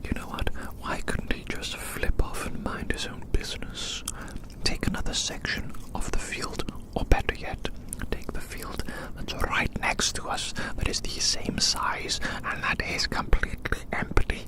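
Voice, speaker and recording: An ASMR performer whispering a rambling, theatrical roleplay monologue outdoors, softly repeating phrases in wordy language. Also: a little slow, low-quality recording. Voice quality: soft unvoiced whisper. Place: outdoors in a large open field.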